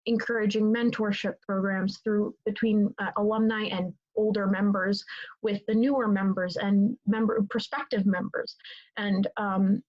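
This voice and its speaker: Young woman, native Italian speaker, student-leader interviewee; mid pitch, rambling, lo-fi sound. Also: steady tone, moderate pace. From Italy. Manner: rambling, many fillers and restarts. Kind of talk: casual interview answer.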